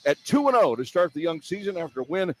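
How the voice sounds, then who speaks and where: A man's voice: high-pitched, big pitch swings, fast. An American man doing live play-by-play broadcast commentary for radio as an announcer. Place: indoor sports arena. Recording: noisy live arena broadcast. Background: arena ambience and noise.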